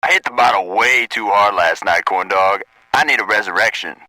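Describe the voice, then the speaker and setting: A man's voice: lowish voice, moderate pace, sharp tone, no echo, shouting, rough recording. An actor playing a griping man calling in to a metal radio station. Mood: hungover, weary. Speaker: radio caller. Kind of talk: radio call-in, acted.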